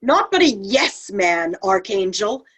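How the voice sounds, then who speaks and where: A woman's voice: mid-range voice, sing-song, takes it slowly, a bit bright, slightly rough, full character voice. A woman playing an outspoken, indignant saint in a play reading, emphatic and challenging in her delivery. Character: outspoken, indignant female saint doubting heaven's plan. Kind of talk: virtual table read of a stage play.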